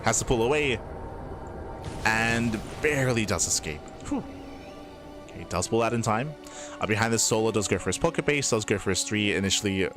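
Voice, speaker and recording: A man's voice: on the low side, animated, fairly quick, long pauses, music in the background. A man from Australia with a mild Australian accent, casting a game solo in a chatty, explaining way with lots of fillers. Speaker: esports caster.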